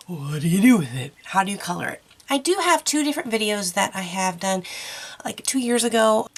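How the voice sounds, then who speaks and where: A woman hosting an art tutorial, chatty and encouraging with lots of fillers, her nose stuffy from a cold. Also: medium pitch. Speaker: art channel host.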